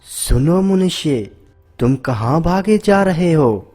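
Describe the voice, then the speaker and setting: A man voicing a wise, helpful magical talking tree that speaks in a booming, commanding way, pitched well above his own voice. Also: animated, takes it slowly, warm tone, faint music behind.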